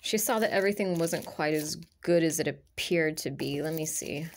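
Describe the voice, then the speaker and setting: A woman with a slightly low voice gives a casual tarot reading, her nose stuffy from allergies. She rambles and circles back often, filling gaps with "like" and "um." She talks over the cards at her table. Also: normal speed, slight background noise. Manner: rambling, hesitant, many fillers and restarts. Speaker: tarot reader.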